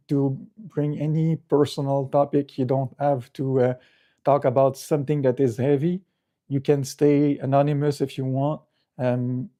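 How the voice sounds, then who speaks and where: A middle-aged man, a native French speaker with a French Canadian accent, chats casually as a livestream host, halting and searching for words. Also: medium pitch, dark tone. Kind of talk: casual unscripted livestream chat.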